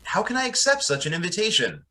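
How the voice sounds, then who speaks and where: Guy playing earnest young pastor, bright voice that swoops up and down, polite, bit hesitant, old radio drama. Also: sits high, huge pitch swings, fast, full character voice. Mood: concerned, grateful. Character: earnest, deferential young pastor, family friend.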